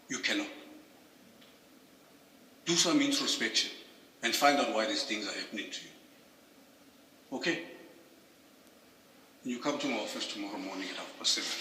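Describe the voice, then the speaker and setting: A man's voice: medium pitch, animated, moderate pace, long pauses, bright, forceful tone. A man speaking as a stern police commander in a confrontational argument, repeating his demands. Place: office, recorded from a distance. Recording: echoey, distant covert office recording.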